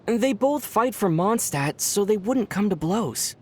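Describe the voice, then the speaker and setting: A deadpan young traveler hero. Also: male voice, high-pitched, unhurried, slightly rough, full character voice. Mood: dry. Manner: calm, dry, deadpan replies. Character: young male traveler hero, calm and dry. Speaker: player character. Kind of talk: video game character banter.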